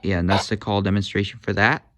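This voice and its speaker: A man's voice: on the low side, fairly even intonation, fast talker. A man casually demonstrating walkie-talkies, hesitant, with many fillers and corrections of himself. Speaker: gadget reviewer.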